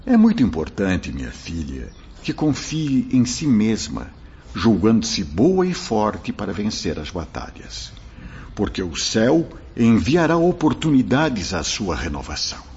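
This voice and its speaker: An actor playing a gentle, solemn spirit mentor in a radio drama, fatherly and reassuring, with formal, measured delivery. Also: lowish voice, wildly swooping pitch, a little hiss or hum, exaggerated voice. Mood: tender exhortation. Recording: dull lo-fi radio drama recording.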